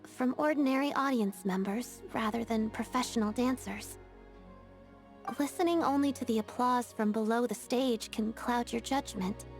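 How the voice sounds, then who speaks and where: Woman voicing young ballerina game character, kinda high voice, polite n composed, very earnest, music behind. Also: lightly acted. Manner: composed, formal, measured. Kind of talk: video game character voice acting.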